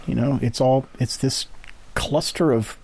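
A man co-hosting a casual podcast discussion, talking haltingly as he searches for his words. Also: medium pitch, darkish tone, slightly rough, poor audio. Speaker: podcast co-host, interviewer.

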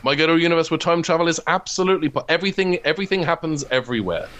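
A man's voice: fairly high pitch, animated, very fast, a bit bright. A man in a casual interview, talking as a comedy writer in an enthusiastic, discursive, geeky way.

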